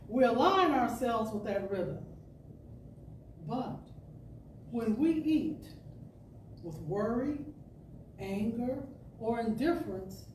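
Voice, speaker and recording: Slow, mellow woman storyteller in a roomy space. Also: fairly high pitch. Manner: deliberate, measured phrasing with expressive pauses. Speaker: storyteller reading a spiritual radio talk. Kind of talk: dramatic inspirational reading to an audience. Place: indoor spiritual center hall. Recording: roomy, distant mic with faint noise.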